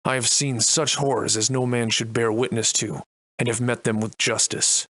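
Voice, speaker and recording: An actor playing a wry, defiant Victorian-era adventurer and monster slayer in a video game, soliloquizing in florid, old-fashioned diction. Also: male voice, mid-range voice, flat delivery, normal speed, fairly bright tone, no echo, close to an everyday voice. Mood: grave, reflective. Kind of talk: theatrical video game voice lines in archaic English.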